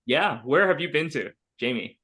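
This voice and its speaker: A man's voice: a little high, animated, normal speed, pushed and bright, slightly rough. Chatty man teaching an online class. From Hong Kong. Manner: chatty, many fillers and restarts. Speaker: English teacher. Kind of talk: online group lesson. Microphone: video call.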